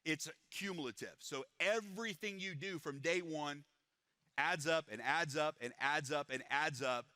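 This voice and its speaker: A man presents to a live audience with an energetic sales pitch, speaking in a slightly high, very bright voice. The sound is dry and close, with no room echo. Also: moderate pace. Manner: energetic salesman pitch, repeats "it's powerful". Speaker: presenter at a business event.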